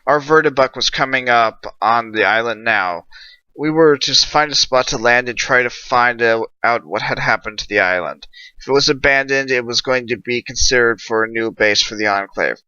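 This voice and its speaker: Guy playing a nervous eager pegasus lieutenant telling their tale, bright forceful voice, muffled lo-fi audiobook. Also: medium pitch, normal speed, clean tone, no echo, close to an everyday voice. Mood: earnest. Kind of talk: first-person audiobook narration. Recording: dull lo-fi dry recording.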